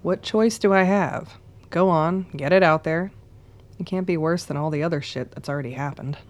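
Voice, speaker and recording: A woman's voice: on the low side, normal speed, a little mellow, close to an everyday voice. An actress playing a tough, wary young woman in a radio drama, blunt and probing in her speech. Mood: braced, impatient. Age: young adult.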